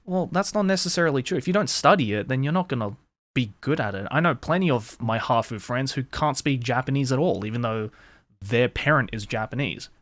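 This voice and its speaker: A man's voice: medium pitch, animated, a little fast, a bit bright, no echo. A young man, hosting a casual interview and talking candidly, with lots of "like" and some swearing. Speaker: host.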